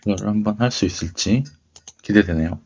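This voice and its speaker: Low-voiced man musing aloud, dull recording. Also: brisk, darkish tone. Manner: musing, tentative, murmuring to himself. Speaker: host of a tech video channel. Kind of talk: casual screen-recording demo commentary, thinking aloud. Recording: dull, lo-fi microphone sound.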